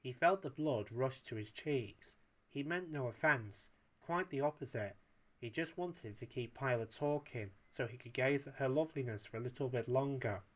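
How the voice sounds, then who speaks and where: A man's voice: mid-range voice, normal speed. A man narrating a story as a storyteller, reading aloud from a text.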